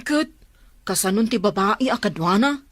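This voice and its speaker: A woman acting a sociable, inquisitive young confidante in an audio drama, with an Ilocano accent and expressive, probing delivery. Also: mid-range voice, moderate pace, no echo, close to an everyday voice. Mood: curious, concerned.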